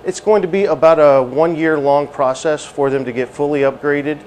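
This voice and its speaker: A man's voice: fairly high pitch, steady tone, moderate pace, a little mellow. A middle-aged American military man explaining procedures in an interview, in an indoor simulator room. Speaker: military air traffic control trainer. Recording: faint room ambience noise.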